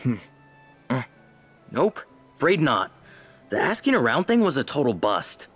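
A man's voice: mid-range voice, sing-song, unhurried, a bit bright, breathy edge, soft background music, exaggerated voice. A man playing an easygoing, techy teenage boy in a video game. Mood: matter-of-fact.

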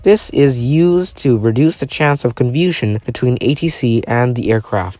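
A man's voice: medium pitch, a little mellow. A man reading scripted narration for a documentary-style explainer. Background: background music.

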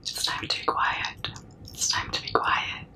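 A young woman whispering very close to the mic in a breathy, soothing, repetitive ASMR comforting roleplay, with soft shushing. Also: measured pace. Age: young adult. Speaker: ASMR performer. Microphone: very close to the mouth.

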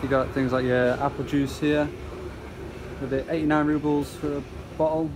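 A man's voice: a little high, normal speed. A man casually narrating a walk through a supermarket, chatting about products and prices as he films. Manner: relaxed, chatty, pointing out prices. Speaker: vlogger touring a supermarket. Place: large supermarket indoors, off camera. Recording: loud store air-conditioning hum behind.

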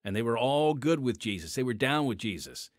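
A man's voice: mid-range voice, big pitch swings, brisk, dry recording, clean studio sound. A man hosting a podcast, talking conversationally with fillers before introducing the episode. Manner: conversational, with fillers and dramatic shifts. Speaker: podcast host. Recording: music bed under the voice.

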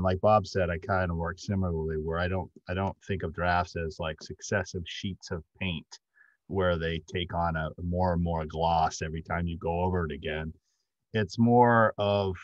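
A middle-aged man explaining things as a panelist on a video call, measured and halting as he searches for words. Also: sits low, dry recording. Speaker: panelist, audio drama writer. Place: indoor room, video call. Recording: dull, lo-fi video-call audio.

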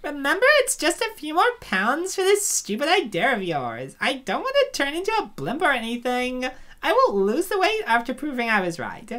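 Woman acting young comic-book woman, extremely high pitch, exclamatory, exaggerated, animated intonation. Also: moderate pace, hardly any pauses, exaggerated voice. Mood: suspicious. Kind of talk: comic dub character voices.

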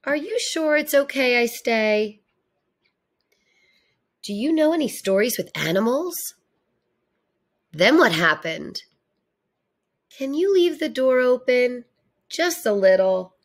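A woman's voice: sits high, sing-song, takes it slowly, pauses often, exaggerated voice. A woman voicing a small, childlike baby tooth from a storybook, eager and full of questions. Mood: curious.

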